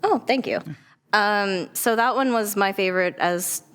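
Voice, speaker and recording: Young woman podcast guest, actress, smooth clear voice, medium pitch, just chatting. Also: unhurried, a bit bright. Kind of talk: casual chat.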